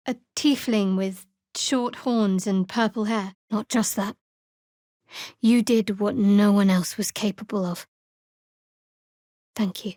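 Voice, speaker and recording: An actress playing a guarded, wry young half-elf cleric in a fantasy video game, speaking in a measured, dry, slightly haughty way. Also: female voice, a little high, no room sound, close to an everyday voice. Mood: earnest, reflective. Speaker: video game character voice actor. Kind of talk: scripted fantasy game dialogue.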